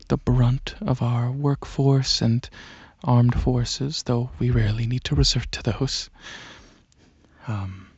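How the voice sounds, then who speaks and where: A man voicing a regal, courteous dragon alien prince in a soft-spoken ASMR roleplay, with a husky, breathy voice and a hesitant, musing, explaining manner. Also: medium pitch, dry recording, lo-fi sound, heavily acted.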